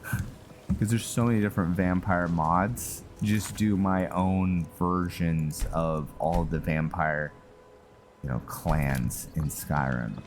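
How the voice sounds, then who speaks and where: A man giving casual let's play commentary, laid-back and musing, often talking to himself as he plays. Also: sits low. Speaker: gamer and role-play series host. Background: game music.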